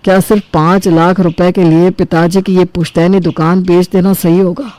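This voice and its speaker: Woman voicing mellow, earnest eldest brother. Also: lowish voice, flat delivery, moderate pace, close to an everyday voice. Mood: troubled, earnest. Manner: earnest, firm. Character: principled eldest brother, sentimental middle-aged family shopkeeper. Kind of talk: acted dialogue in a Hindi cartoon family story.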